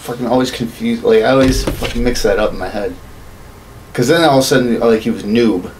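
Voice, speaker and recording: A man's voice: medium pitch, fairly quick, noisy background. A man in his thirties bantering casually as a co-host, joking and swearing freely. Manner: joking, foul-mouthed. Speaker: podcast co-host. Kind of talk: casual banter about video games. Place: small indoor home studio room.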